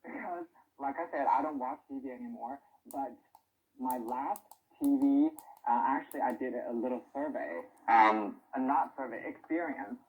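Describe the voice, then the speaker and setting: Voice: medium pitch, moderate pace, husky, narrow, tinny audio. A person chatting casually and ramblingly on a shortwave radio broadcast, heard through a small speaker.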